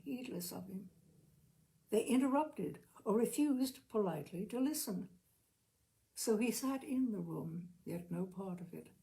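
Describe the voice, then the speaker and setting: Gravelly-voiced woman reading a literary story. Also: medium pitch, warm tone. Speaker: audiobook reader, storyteller. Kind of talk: expressive literary short story narration.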